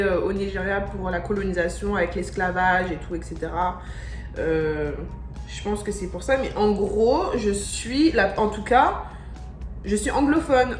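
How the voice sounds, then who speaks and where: An excitable young woman from France talks straight to camera in a casual vlog, piling up slangy exclamations. Her voice rings in an echoey room, with faint music underneath. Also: medium pitch. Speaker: vlogger.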